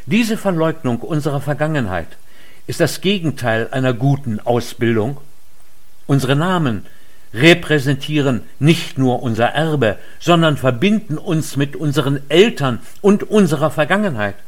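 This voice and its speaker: A man delivering a formal lecture in a measured, earnest way. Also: mid-range voice, animated. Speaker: lecture speaker. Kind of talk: formal read speech.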